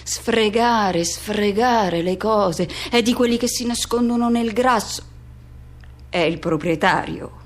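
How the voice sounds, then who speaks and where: A woman playing a lonely, self-effacing middle-aged man in an introspective, colloquial radio drama monologue with halting repetitions. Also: medium pitch. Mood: watchful, resigned.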